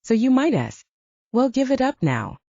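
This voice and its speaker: A woman's voice: sits high, very animated, normal speed, vocal fry, dark tone, no echo, highs cut off, voice pushed up, exaggerated voice. A woman voicing a defiant, insistent young werewolf girlfriend in an audiobook. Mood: stubborn, insistent. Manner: scoffing, pointed, insistent.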